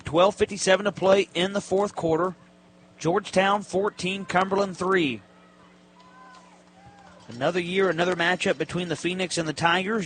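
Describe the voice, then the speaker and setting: A man's voice: sits high, a bit bright. A man working as a radio announcer, giving live football play-by-play in clipped, descriptive phrases. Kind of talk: live sports play-by-play commentary. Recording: live broadcast with stadium background noise. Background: stadium ambience, faint noise.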